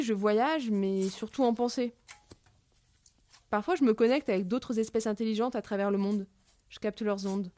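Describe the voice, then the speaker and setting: A woman's voice: a little high, darkish tone, lightly acted. A woman playing a long-lived gynoid botanist, reminiscing in a conversational, wondering way in an audio drama. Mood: joyful, tender. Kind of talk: intimate monologue in a fiction podcast.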